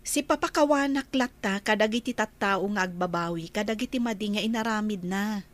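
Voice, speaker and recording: A woman acting a gentle, forgiving young female employee in a radio drama. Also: medium pitch, fairly quick, lightly acted. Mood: friendly, chatty.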